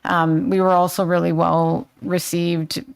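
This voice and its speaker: A woman's voice: lowish voice, little pitch movement, a little slow, vocal fry, clean tone. A woman staff member presenting next steps to a town council in a businesslike, fluent way.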